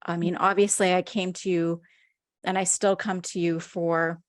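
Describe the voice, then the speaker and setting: A woman's voice: fairly low pitch, level tone, moderate pace, dry recording, studio quality. A woman hosting a podcast, speaking earnestly and effusively, lingering on words of praise as she introduces her guest. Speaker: podcast host. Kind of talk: heartfelt interview introduction.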